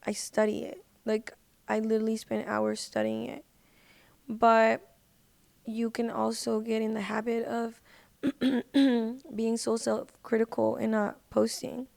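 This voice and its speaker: Higher-pitched woman with creaky, flat delivery. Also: unhurried, no room sound. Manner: informal, slangy. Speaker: podcast guest, singer and social media creator. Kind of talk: casual podcast chat.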